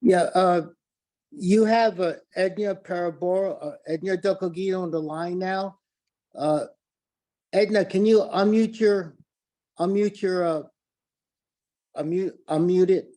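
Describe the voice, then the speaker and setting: A man with an unusually high voice and a mellow tone speaks casually. He stumbles through fillers and repeats himself. The sound comes from a video call. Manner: halting, many fillers. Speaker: participant helping guest. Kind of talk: casual chat.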